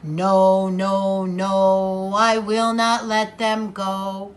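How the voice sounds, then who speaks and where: A woman voicing a haughty, stubborn male king in a children's story, with a deep gruff put-on voice. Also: monotone, extremely slow, slight background noise. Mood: stern.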